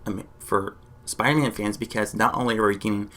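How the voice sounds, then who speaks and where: A man's voice: on the low side, a little fast. A man hosting an enthusiastic reaction and discussion vlog, exclaiming often and repeating himself. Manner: excitable, exclaiming, repetitive. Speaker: YouTube reaction host.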